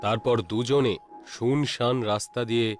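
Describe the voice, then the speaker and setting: A man narrating a scripted horror story in a measured, dramatic storytelling manner. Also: medium pitch, fairly even intonation, faint music behind.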